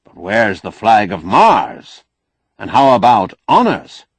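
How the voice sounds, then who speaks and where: A man's voice: lowish voice, huge pitch swings, takes it slowly, husky, dry recording, full character voice. A man voicing a blunt, folksy old man who bargains shrewdly and sardonically in an audiobook novel. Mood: brisk, demanding. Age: older. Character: crusty, shrewd old man, bossy, wry negotiator.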